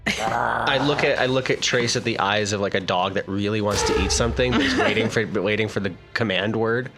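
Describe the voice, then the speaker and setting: A man's voice: on the low side, a little fast. A man player in a tabletop game, joking and laughing as he describes his actions. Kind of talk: casual tabletop play. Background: loud music score.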